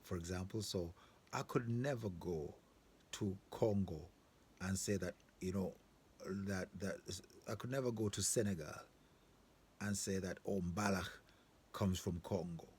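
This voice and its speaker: Very deep-voiced, emphatic man, noisy background. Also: unhurried, a little mellow. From southern Africa. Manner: emphatic, repetitive, checks with rhetorical questions. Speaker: host. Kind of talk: informal opinionated monologue to viewers. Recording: clear background ambient noise.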